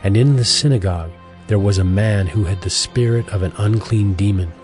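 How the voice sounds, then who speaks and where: A man narrating scripture from a script in a measured, formal manner. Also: fairly low pitch, a little mellow, soft background music.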